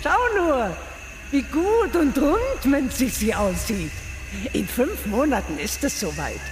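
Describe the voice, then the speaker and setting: An actress playing an admiring village woman with drawn-out, melodic speech in a radio drama. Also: high voice, very animated, exaggerated voice. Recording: echoey reverberant space, music behind.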